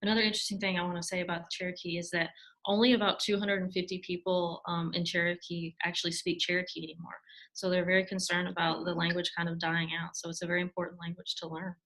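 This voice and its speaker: Woman presenter, lowish, sharp-edged voice, steady explanatory delivery. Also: quick pace, low-quality recording. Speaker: student presenter. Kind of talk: casual panel talk.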